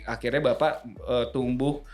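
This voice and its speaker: A man's voice: mid-range voice, fairly even intonation, measured pace. A man hosting a casual company podcast, teasing his guest and laughing often. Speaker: podcast host. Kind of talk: casual corporate podcast interview. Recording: roomy studio with faint music.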